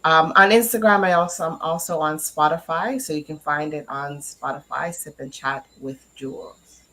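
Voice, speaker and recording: Very low-voiced woman, hesitant interview guest. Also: moderate pace, fairly bright tone, low-quality recording. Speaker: interview guest, podcaster and cast member. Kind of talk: informal interview self-introduction.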